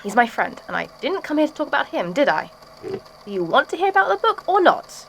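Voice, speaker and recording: A woman's voice: high-pitched, very animated, brisk, vocal fry, a bit bright, slight background noise, heavily acted, rough recording. A woman playing a former schoolteacher turned obsessive artist, giving a chatty, matter-of-fact confession in an audio drama. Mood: guarded, defiant. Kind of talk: audio drama statement monologue.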